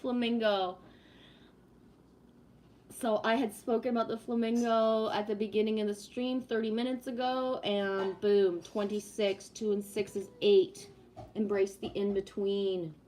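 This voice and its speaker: A woman in her fifties hosts a casual livestream and chats freely with her viewers. Her voice sits at an ordinary middle pitch, with a faint hiss of noise behind it. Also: little pitch movement, a little slow, a little mellow, rough recording. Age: 50s. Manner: chatty, greets viewers, spiritual talk. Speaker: livestream host.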